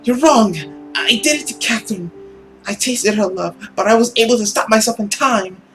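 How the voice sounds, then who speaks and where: A man's voice: high voice, moderate pace, fairly bright tone, faint music behind, voice pushed up. A man voicing a stern, principled woman living under a curse, speaking earnestly and reproachfully in a dramatic visual novel reading. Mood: firm, reproachful.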